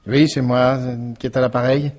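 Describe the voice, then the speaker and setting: A man's voice: medium pitch, flat delivery, moderate pace, darkish tone, close to an everyday voice. An actor in a French radio mystery drama playing a reluctant, irritable, evasive businessman who hesitates and grumbles. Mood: wary, irritated.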